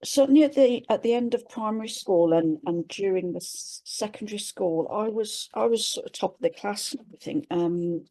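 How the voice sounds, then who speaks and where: A woman's voice: mid-range voice, moderate pace, few pauses, dark tone. A woman from England, sharing a personal reflection on a video call in a hesitant way, with frequent restarts as she searches for words. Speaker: webinar participant. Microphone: computer mic on a video call.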